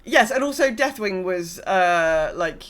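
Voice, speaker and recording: A woman's voice: fairly low pitch, lively intonation, slow. A woman co-hosting a podcast, talking casually and a little wryly about a video game. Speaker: podcast co-host.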